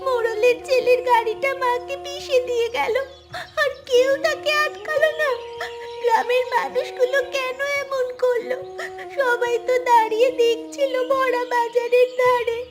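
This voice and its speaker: Very high, pleading little village girl. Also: fairly even intonation, unhurried. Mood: grieving. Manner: childlike, pleading, earnest. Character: innocent, devoted poor little village girl. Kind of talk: melodramatic cartoon acting. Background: loud music.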